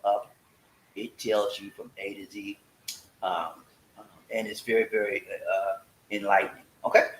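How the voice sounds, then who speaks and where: A man's voice: medium pitch, pushed and bright. A man, hosting a casual livestream chat in a folksy, preachy way. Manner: folksy, preachy, breaks into singing. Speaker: vlog host. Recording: echoey room with faint hiss.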